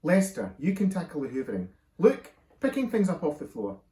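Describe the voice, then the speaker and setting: Man playing a cheery, commanding storybook dad; lively, raised, roomy. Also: on the high side, fairly quick, voice pushed up. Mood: jolly, bossy. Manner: jolly, commanding like a sergeant. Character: cheerful bossy dad, father of four. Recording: roomy echo.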